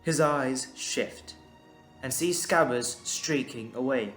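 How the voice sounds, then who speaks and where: A man's voice: mid-range voice, slow, a bit bright. A man reading scripted dramatic narration as the narrator of an audio drama. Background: music.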